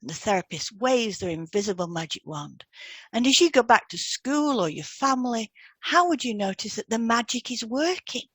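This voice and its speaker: A woman's voice: a little high, lively intonation, moderate pace, breathy edge, no room sound. Woman co-hosting with a dry, close sound. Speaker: podcast co-host, hypnotherapist. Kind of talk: scripted podcast narration, instructional.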